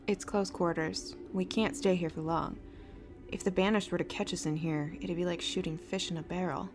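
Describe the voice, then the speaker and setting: A woman playing a cautious, serious Marine in a science fiction audio drama, speaking gravely. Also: fairly low pitch, steady tone, normal speed, over music, lightly acted. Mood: wary.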